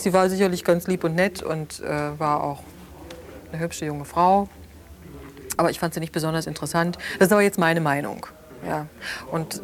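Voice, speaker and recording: A woman's voice: deep voice, close to an everyday voice. An actress playing an opinionated, condescending woman who pads her judgements with hesitations in a naturalistic radio drama. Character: opinionated, condescending woman judging an acquaintance's partner.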